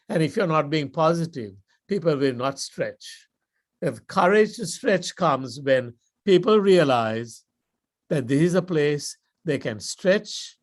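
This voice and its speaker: An older man from Sri Lanka with a Sri Lankan accent, giving a motivational club speech in a measured, deliberate storytelling style with dramatic pauses. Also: fairly high pitch. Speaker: guest speaker.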